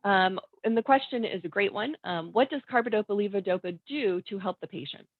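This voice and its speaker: A woman moderating a webinar's questions, polite and filling pauses with frequent ums. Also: medium pitch, dry recording. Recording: dry, phone-like sound.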